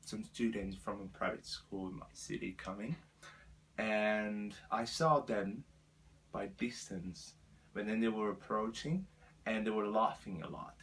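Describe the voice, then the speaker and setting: A man in his twenties from Brazil with a Brazilian Portuguese accent, speaking halting second-language English as he tells his life story to the camera with many fillers. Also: lowish voice, a bit bright, slightly rough, rough recording. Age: 20s. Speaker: vlogger.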